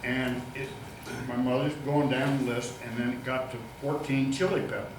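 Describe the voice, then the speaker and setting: This is an older man sharing a story in a folksy, informal way. His voice is loud, yet it comes from some way off in an echoey room. Clear background noise sits under it. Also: mid-range voice, level tone, normal speed, far from the mic. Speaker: audience member sharing a memory. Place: community room at a public panel event.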